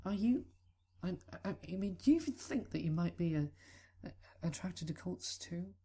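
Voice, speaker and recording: Brief: timid young colt-ish stallion, stumbling over words, pitched high, voice thinned and set back, put on by a male reader. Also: expressive, moderate pace, mellow. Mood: flustered. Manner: hesitant, stammering. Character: shy young male pony, a nervous cellist.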